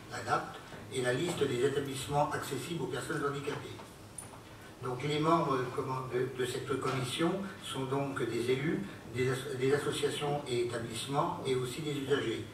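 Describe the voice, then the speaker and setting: A man, an elected local official, formally presenting a council report in a halting, word-searching way. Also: mid-range voice. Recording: very echoey hall, distant mic, noisy.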